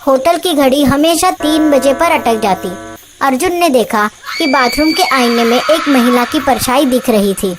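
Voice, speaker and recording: Woman narrator for a scripted ghost story, notably high voice, slight noise behind. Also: measured pace.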